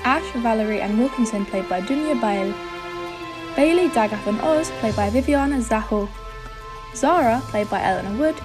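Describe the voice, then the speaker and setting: A woman announcing the credits of an audio play in scripted narration. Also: fairly high pitch. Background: clear music.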